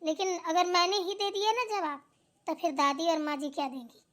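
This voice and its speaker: A woman's voice: very high voice, steady tone, moderate pace, fairly bright tone. A woman voicing a mouthy, defiant younger sister-in-law in a Hindi family drama cartoon, argumentative in manner.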